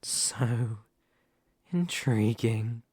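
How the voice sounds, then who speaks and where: A man playing a dominant, vain and possessive demon lord in an ASMR roleplay, speaking formally and theatrically with deliberate dramatic pauses. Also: mid-range voice, animated, darkish tone, breathy edge, no echo, clean studio sound, full character voice. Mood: brooding, probing. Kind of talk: ASMR roleplay character monologue.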